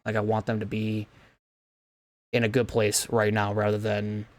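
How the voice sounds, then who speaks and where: A hoarse young man losing his voice, rambling earnestly with stumbles and restarts as he wraps up a sim racing commentary. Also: on the low side, monotone, moderate pace. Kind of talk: casual outro after play-by-play commentary.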